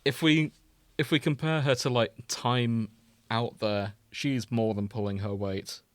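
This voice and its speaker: A man's voice: mid-range voice, steady tone, a little slow, no echo. A man hosting a podcast in a casual, conversational style. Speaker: podcast host. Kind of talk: casual chat.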